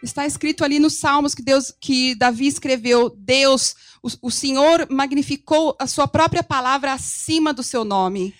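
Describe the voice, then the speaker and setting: A woman's voice: high-pitched, normal speed, few pauses, dry recording, soft background music. A woman with a Brazilian accent giving live consecutive interpretation of a sermon, phrase by phrase.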